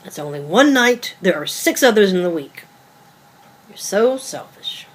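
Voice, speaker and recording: A female actor plays a cutting, evasive girlfriend in a two-hander stage drama. Her voice sits at a middle pitch with a natural, conversational delivery. She comes across as dry, blunt and guarded. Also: expressive, a little slow. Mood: scornful. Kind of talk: table read of a two-person stage play.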